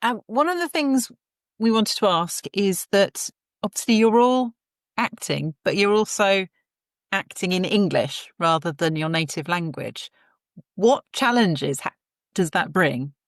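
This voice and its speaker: A woman interviewer asking the guests a measured question. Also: medium pitch, expressive, dry recording, studio quality.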